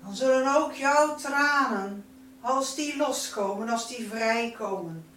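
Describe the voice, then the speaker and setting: A woman's voice: a little high, takes it slowly, fairly bright tone. A woman giving a spiritual guided meditation in a solemn, incantatory manner, drawing out and repeating her phrases. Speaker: spiritual teacher leading a light-circle meditation. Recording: roomy, distant microphone, noisy background.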